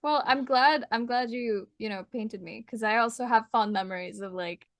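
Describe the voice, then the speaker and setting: A woman's voice: a little high, moderate pace, a bit bright, no echo. A young adult woman hosting a podcast interview, chatty and bubbly, laughing often. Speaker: podcast host. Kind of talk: casual interview chat.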